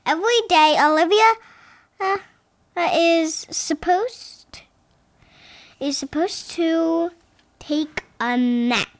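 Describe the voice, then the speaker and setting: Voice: very high voice, takes it slowly, pauses often. A six-year-old child reading a picture book aloud with chatty asides. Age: child, about six.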